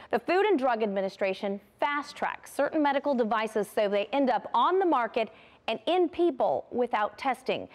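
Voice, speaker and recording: Woman investigative TV reporter, fairly high-pitched, presenting a news story.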